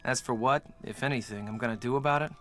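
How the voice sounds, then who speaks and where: A man's voice: medium pitch, quick pace, fairly bright tone, soft background music, natural voice. An actor playing a frustrated, lovelorn young man in a video game, earnest and defensive. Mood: rueful.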